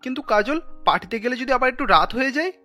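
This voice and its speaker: A man's voice: very high-pitched, expressive, fast, pushed and bright, soft background music, exaggerated voice. Easygoing young Bengali cartoon husband played by a man. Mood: casual. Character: young Bengali husband, easygoing office worker, easily exasperated. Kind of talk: Bengali family cartoon voice acting.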